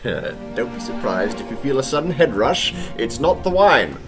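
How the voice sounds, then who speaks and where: Man acting a theatrical anarchist zealot, wildly swooping pitch. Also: very animated, normal speed, over loud music, close to an everyday voice. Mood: sly, amused. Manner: theatrical, declamatory, laughing zeal. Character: fervent male anarchist poet, theatrical and gleefully zealous. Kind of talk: radio play acting.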